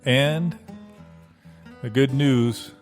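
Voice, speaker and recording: A man playing a folksy, sly church car salesman giving an earnest, rambling, halting local advertisement. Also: medium pitch. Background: plucked guitar music.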